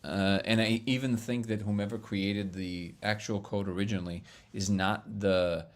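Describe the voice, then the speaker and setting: A man hosting a casual live stream, reading viewer comments and answering them in an excitable, loud, booming voice, laughing often. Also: moderate pace. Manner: excitable, laughs often, reads chat aloud. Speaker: livestream host answering chat.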